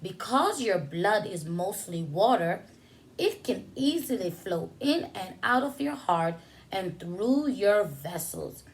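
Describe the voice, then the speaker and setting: Slow, expressive woman reading to young children. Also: mid-range voice, low-quality recording. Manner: addresses young children directly with questions and simple asides. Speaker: preschool teacher reading a picture book aloud. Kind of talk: children's story time, read-aloud with simple explanations.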